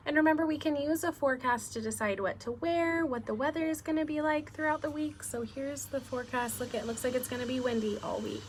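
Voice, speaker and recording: Lady teacher reading to little kids, highish voice, asks lots of questions, bit of background noise. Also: a little fast, few pauses. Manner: instructive, asks frequent questions to young children. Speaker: elementary school teacher. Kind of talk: children's read-aloud lesson, speaking directly to pupils.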